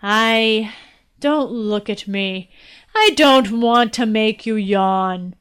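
A woman voicing a weary, sad old king in a storybook who slowly warms to laughter. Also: a little high, smooth. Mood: sorrowful.

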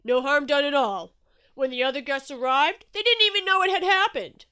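A woman voicing a loud, hearty male shark in a children's story, roaring with exuberant, big-hearted friendliness. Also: high-pitched, normal speed, voice pushed up. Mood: hearty, kind.

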